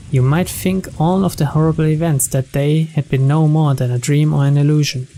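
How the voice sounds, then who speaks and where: A man's voice: fairly high pitch, gravelly, dark tone, low-quality recording. A man reading a mystery story aloud as narrator, in a scripted narration style.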